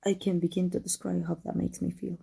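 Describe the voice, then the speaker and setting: Woman acting a husky, dark-voiced tender villainess. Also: lowish voice, level tone, full character voice. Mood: soft, affectionate. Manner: halting, breathy, emotional delivery. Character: tender, guarded villain woman confessing love to her rival. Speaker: voice actor. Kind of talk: intimate ASMR roleplay acting.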